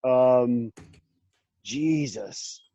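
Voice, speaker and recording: A man's voice: mid-range voice, extremely slow, creaky, mellow, no room sound, highs cut off. A man in his late thirties, hosting a casual group chat, rambling with many restarts and laughing often. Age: 30s. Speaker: podcast host.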